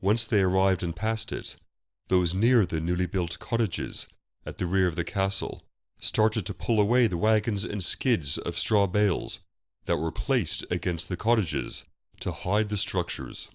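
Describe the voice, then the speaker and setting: A man's voice: deep voice, expressive, unhurried. A man reading a historical fantasy story as a scripted audiobook narration. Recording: muffled, roomy voice recording.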